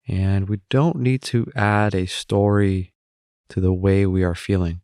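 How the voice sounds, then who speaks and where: A man leading a guided meditation as the guide, speaking in a calm, gentle, instructing manner with pauses. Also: fairly low pitch, vocal fry, no echo, clean studio sound.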